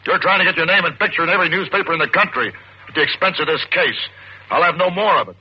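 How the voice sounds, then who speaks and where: An actor playing a stern, forceful American deputy district attorney in an old-time radio suspense drama, commanding, clipped and formal. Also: male voice, on the high side, fast, slightly rough. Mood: angry, forceful. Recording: muffled, noisy old radio recording.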